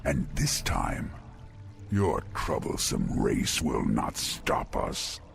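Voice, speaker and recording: Casting: arrogant game demon overlord, gravel-throated, taunting, slow and heavy delivery. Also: male voice, a bass voice, wildly swooping pitch, over loud music, full character voice. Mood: gloating menace. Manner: imperious, taunting. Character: towering ancient demon lord, arrogant, menacing and wrathful. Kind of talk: theatrical video game villain acting.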